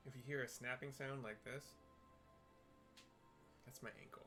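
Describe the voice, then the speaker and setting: A man talks through what he sees on screen in an easygoing, halting way, full of ums and restarts. His voice sits in a middle pitch. Soft game music runs faintly behind him. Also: steady tone. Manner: casual, with frequent ums and restarts. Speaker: audio describer and narrator. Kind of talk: casual audio description over gameplay.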